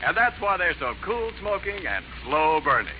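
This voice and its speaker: A man's voice: sits high, sing-song, moderate pace. Hearty 1940s radio announcer man. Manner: hearty, upbeat announcer delivery. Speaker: announcer on a radio comedy show. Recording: lo-fi 1940s radio broadcast with faint hiss.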